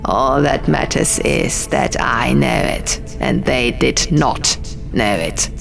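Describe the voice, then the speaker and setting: A woman voicing a haughty, menacing male scribe god in an audiobook, with slow, pompous, riddling declamation. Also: very deep voice, vocal fry, pitched below the performer's own voice, full character voice. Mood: contemptuous. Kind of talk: audiobook character voice. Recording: music behind the voice.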